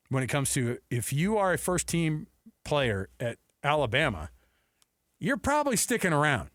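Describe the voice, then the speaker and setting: A man's voice: a little high, a bit bright, dry recording, studio quality. A man hosting a sports radio show in casual talk, often acting out imagined quotes.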